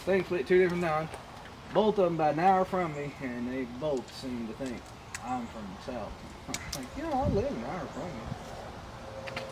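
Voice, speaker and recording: A man's voice: on the high side, sing-song, moderate pace. A man from Arkansas and Oklahoma chatting casually and teasing his friend while they pull an engine in a garage. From Arkansas and Oklahoma, USA. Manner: teasing, joking country talk. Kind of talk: casual chat while working. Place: home garage. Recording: loud shop noise.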